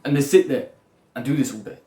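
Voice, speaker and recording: A man's voice: medium pitch, fast, mellow. Blunt, swearing young man. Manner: rambling, swearing, lecturing bluntly. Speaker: self-improvement YouTuber. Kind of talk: blunt motivational monologue. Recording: echoey room with faint music.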